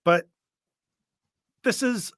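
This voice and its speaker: A man's voice: drawn-out words, fairly bright tone, dry recording, studio quality. A man co-hosting a show with casual, opinionated reaction commentary in a conversational manner. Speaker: show co-host.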